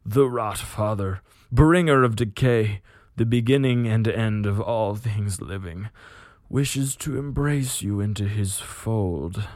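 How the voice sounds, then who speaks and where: A man's voice: fairly low pitch, darkish tone, no room sound, clean studio sound. A man voicing a sickly, menacing armored plague warrior for a story, with a choked, wheezing, commanding delivery. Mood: sinister coaxing. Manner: slow, commanding, sinister.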